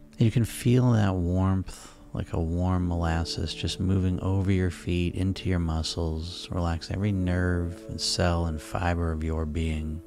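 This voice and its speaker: A man speaking as a hypnotist, guiding listeners into sleep in a soothing, unhurried, repetitive way over music. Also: low-pitched, a little mellow. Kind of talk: guided sleep hypnosis narration. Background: relaxing background music.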